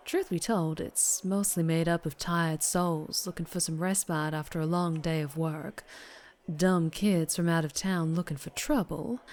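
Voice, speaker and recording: Female vampire biker, acted by a woman, Southern US accent, slightly low pitch, flirtatious, teasing, doting, intimate ASMR roleplay. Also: a little mellow.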